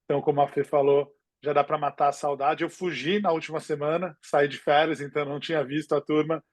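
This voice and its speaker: A man's voice: a little high, little pitch movement, quick pace, no room sound, studio quality. A Brazilian man in his forties co-hosting a webinar in an informal, conversational style. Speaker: webinar co-host, futures researcher.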